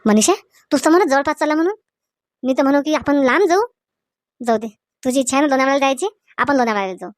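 Woman as a high-voiced, devoted young husband. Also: quick pace, long pauses, lightly acted. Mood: agreeable. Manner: reassuring, encouraging. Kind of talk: Marathi comedy cartoon dialogue.

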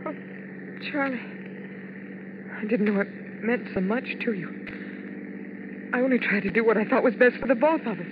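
An actress playing a frightened, clinging showgirl wife in a 1950s radio drama, halting and pleading. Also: fairly high pitch, background noise, close to an everyday voice. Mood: anxious pleading. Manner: pleading, halting, repeating herself. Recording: dull 1950s radio broadcast with music.

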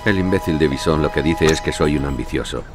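A weary police commander in an audio drama. His voice is extremely low and his delivery gruff but natural, with music clearly behind him. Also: fast. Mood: weary, domestic.

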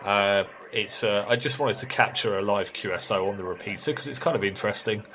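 A man's voice: on the low side, brisk, fairly bright tone. A man chatting casually and hesitantly as he narrates and operates amateur radio. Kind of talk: casual hands-on narration and radio contact chat. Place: small indoor radio shack. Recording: noisy room with radio hiss.

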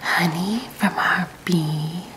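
A woman's voice: lowish voice, fairly even intonation, slow, background noise. A woman playing a prim, unhinged alien housewife in an ASMR roleplay, whispering sugary, smug small talk into a close mic. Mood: sweet whisper. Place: pink kitchen set, indoors.

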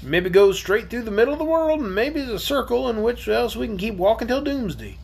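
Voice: mid-range voice, expressive, vocal fry, a little mellow, breathy edge, pitched above the performer's own voice. Reader playing a cautious, folksy storybook sailor man. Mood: weary. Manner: folksy, doleful, deliberate. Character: gruff, cautious, kindly old one-legged sailor man. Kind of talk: acted storybook character.

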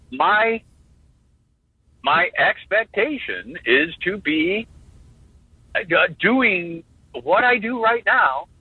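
A man in his fifties talks in an animated, joking way, often restarting his sentences. His voice sits high and swoops around, with a husky edge. It comes through a tinny, phone-like line with no room sound. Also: no echo. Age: 50s. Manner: animated, halting restarts, jokey. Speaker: guest drummer. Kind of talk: casual interview talk.

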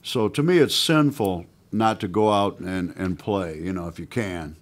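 A man's voice: sits low, normal speed, soft background music. A man in his sixties from the United States, a guest musician chatting casually in a small radio studio, laid-back and peppering his talk with "man" and "you know". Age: 60s. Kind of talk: casual radio interview chat. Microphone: stand mic at guitar.

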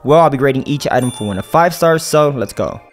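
Young man, barber host, animated and exclaiming, slangy, lively rises and falls, soft music underneath. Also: mid-range voice, quick pace. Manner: animated, exclaiming, slangy ("bro", "what the heck"). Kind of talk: excited product unboxing and review. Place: indoor studio set at a table.

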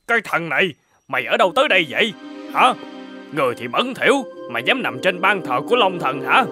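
An actor playing a pompous, excitable middle-aged male court official in a folk-tale cartoon, blustering and exclaiming. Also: fairly high pitch, fairly quick, soft background music. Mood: indignant.

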